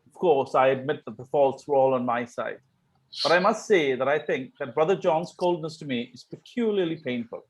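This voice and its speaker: Casting: idle, cheeky young Victorian gentleman played by a man, lightly raised pitch, breezy teasing, amateur comedy read. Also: normal speed, close to an everyday voice, low-quality recording. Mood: mock wounded.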